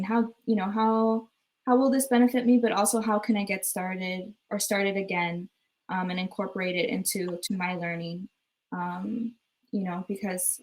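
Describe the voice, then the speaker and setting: A woman's voice: medium pitch, darkish tone, rough recording. Encouraging woman moderating a panel. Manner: encouraging, filler-heavy.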